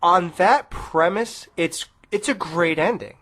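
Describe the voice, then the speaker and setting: A man's voice: sits high, slow, a bit bright. A man hosting a podcast, chatting casually about movies in a rambling way. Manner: rambling, laughing, trailing off. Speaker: podcast co-host.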